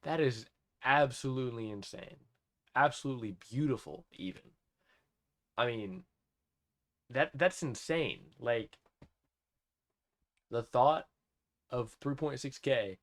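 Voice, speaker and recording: Casting: 18-year-old male vlogger, mid-pitched, excited rambling rant, keeps saying it's insane, dry close sound. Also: dry recording. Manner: awed, repeats himself, restarts often.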